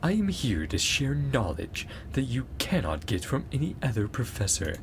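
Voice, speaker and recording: An exacting male professor acted by a man, lecturing formally and imperiously as a game character. The voice is gravelly and varies its pitch, over slight noise. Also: big pitch swings, moderate pace. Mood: dry, lecturing.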